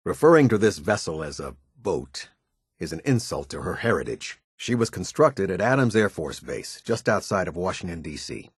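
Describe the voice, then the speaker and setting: A low-pitched, stern airship captain from a video game. He speaks with formal, clipped military authority in a husky voice that moves expressively up and down, recorded close and dry. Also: no room sound. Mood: stern, disapproving, then proud, formal. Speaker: military officer giving orders and briefings. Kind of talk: scripted video game voice acting.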